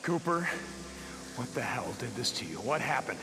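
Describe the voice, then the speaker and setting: An actor playing a gruff, sardonic survivor of a zombie apocalypse in a naturalistic video game style, muttering and swearing. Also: male voice, medium pitch, very animated, normal speed, heavy background noise, lightly acted. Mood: urgent, concerned.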